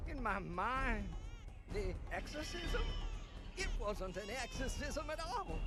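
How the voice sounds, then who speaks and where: A deranged evangelist telling his story in a game cutscene. The voice is high and swoops dramatically, theatrical and fervent like a sermon. Loud music plays underneath. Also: exaggerated voice. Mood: shaken, unhinged. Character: tormented, deranged male evangelist preacher. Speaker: narrator of a video game cutscene. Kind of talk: dramatic first-person game monologue.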